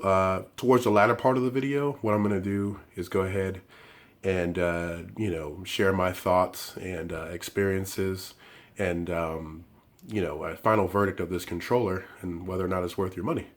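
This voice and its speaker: A man with a low, deep voice that sounds hoarse and worn from illness. He talks through a gadget unboxing in a loose, rambling way, full of ums and restarts, like a channel host chatting to his viewers. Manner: rambling, lots of fillers and restarts. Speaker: tech reviewer and channel host. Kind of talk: casual unboxing and review talk.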